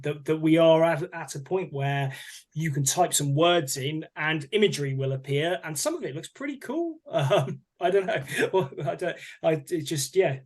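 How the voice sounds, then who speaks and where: A man co-hosting a casual podcast, musing hesitantly and repeating words as he thinks aloud. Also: a little high. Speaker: podcast co-host. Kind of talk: casual chat.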